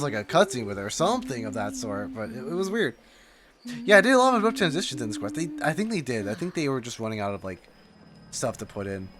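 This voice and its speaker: Animated man reacting to a game. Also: medium pitch. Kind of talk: casual reaction commentary.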